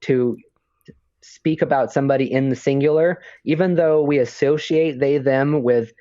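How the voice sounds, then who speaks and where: A man's voice: medium pitch, normal speed, warm tone, dry recording. A man co-hosting a podcast in casual chat, talking hesitantly with lots of fillers and restarts. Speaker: podcast co-host. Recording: dull lo-fi audio.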